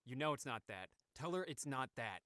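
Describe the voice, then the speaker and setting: A man plays a hunted man on a secret call in an audio thriller. He is clipped and guarded, with shifting, lively pitch and a dry sound. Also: brisk, no echo. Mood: guarded, urgent.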